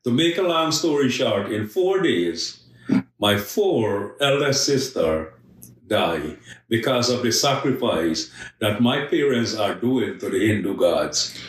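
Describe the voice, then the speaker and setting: A man from Guyana, a missionary guest telling his life story in a podcast interview, slow and earnest with emphatic stresses. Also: mid-range voice, sing-song. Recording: echoey room, voice from a distance.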